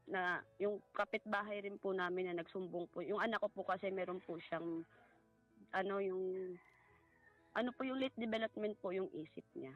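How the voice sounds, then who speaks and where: A woman with a Filipino accent giving a personal account in a halting, polite way, as a guest in conversation. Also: medium pitch, narrow, tinny audio. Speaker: guest recounting a family story. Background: music.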